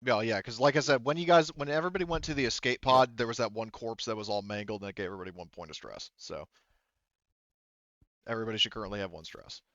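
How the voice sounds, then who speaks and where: Low, clear-voiced man running a tabletop game. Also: quick pace, a bit bright, dry recording, lo-fi sound. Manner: casual, talking players through rules and results. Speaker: game master.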